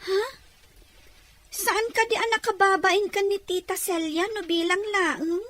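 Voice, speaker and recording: A woman's voice: extremely high pitch, fairly even intonation, unhurried, a bit bright. A woman playing a timid but eager young schoolgirl in an audio drama. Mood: unsure, questioning.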